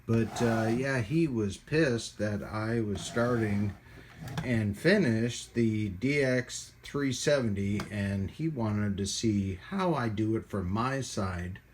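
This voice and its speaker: Man, fairly low voice, casual hobby host, hands-on demo style, halting, rambling, frequent pauses. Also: background noise. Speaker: host of a radio hobby channel. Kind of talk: casual hands-on product demonstration. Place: indoors at a hobby workbench.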